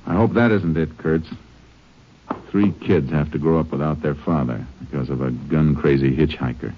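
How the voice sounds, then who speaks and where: A man's voice: extremely low pitch, normal speed, a little hiss or hum. An actor playing a grave, determined Texas Ranger who narrates his case in the manner of a 1950s radio western. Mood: grim concern. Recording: muffled old radio recording with music.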